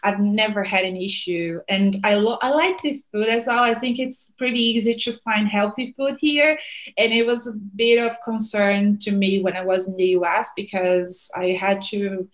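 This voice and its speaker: A woman's voice: mid-range voice, normal speed, rough recording. Brazilian woman chatting in English. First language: Portuguese. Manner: relaxed, hesitant, searching for words. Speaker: guest. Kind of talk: casual conversation.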